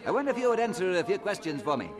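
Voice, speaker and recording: Nosy old-time reporter in a radio play, high voice, swoopy and sardonic, crowd bustle behind. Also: male voice, very animated, very fast. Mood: polite, prying. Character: eager, nosy male colonial newspaper reporter, slightly sardonic. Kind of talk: audio drama acting.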